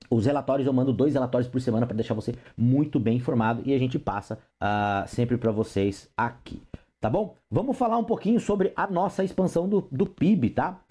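A hoarse man with a Brazilian Portuguese accent giving casual market commentary on the radio, talking informally to his listeners with lots of fillers. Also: mid-range voice, warm tone. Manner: informal, repeats fillers, addresses listeners as "pessoal".

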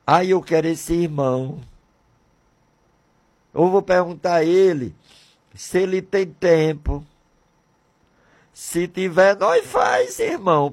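A man in his sixties from Brazil, talking on religious radio in a fervent, repetitive way with many rhetorical questions. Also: sits high. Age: 60s. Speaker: radio presenter.

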